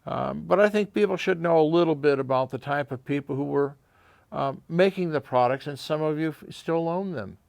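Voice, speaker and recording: An older American man telling anecdotes informally to camera, reflective and halting, often pausing mid-sentence. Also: on the high side, gravelly, darkish tone, no room sound. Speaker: audio shop owner and video host.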